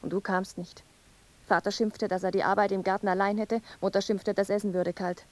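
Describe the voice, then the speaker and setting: A woman's voice: mid-range voice, little pitch movement, brisk, darkish tone, lightly acted. A woman playing a mournful, visionary young wife in a poetic radio play, with solemn, incantatory delivery. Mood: anguished.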